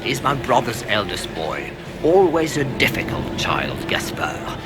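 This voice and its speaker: A man's voice: mid-range voice, very animated, a little slow. An actor playing a weary, grave older nobleman in a video game. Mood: rueful. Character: older male nobleman, uncle of a grand duke, weary and grave. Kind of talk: video game dialogue acting. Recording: game audio under loud music. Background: loud music.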